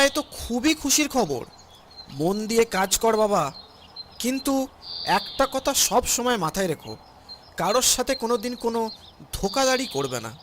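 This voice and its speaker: Man voicing a high-pitched humble village father. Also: a bit bright, a little hiss or hum. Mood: proud, gentle. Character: humble hardworking village husband and doting father. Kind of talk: Bengali cartoon voice acting.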